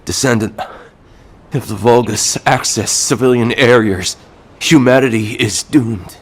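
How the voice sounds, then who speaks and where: An actor playing a wounded, resolute male security captain in a video game, speaking gravely in a labored, husky voice. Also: medium pitch, unhurried. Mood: grave, desperate. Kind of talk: video game cutscene acting.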